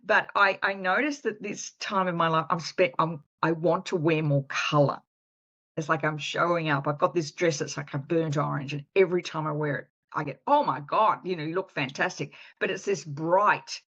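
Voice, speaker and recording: A woman's voice: low-pitched, moderate pace, fairly bright tone, breathy edge, muffled recording. A middle-aged woman hosting an interview, chatty and enthusiastic, often restarting her sentences. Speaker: podcast host. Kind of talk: casual interview chat.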